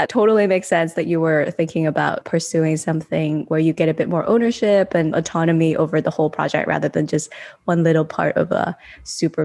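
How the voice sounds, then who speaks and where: A woman's voice: lowish voice, darkish tone. Upbeat woman podcast host. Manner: upbeat scripted intro, then conversational questions. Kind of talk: podcast interview.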